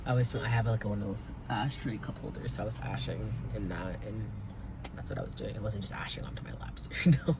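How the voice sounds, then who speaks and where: A man's voice: fairly low pitch, fast. A young man talking in a rambling, hesitant way, with many fillers and casual swearing, recording a personal vlog from inside his car. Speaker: vlogger. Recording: car noise in background.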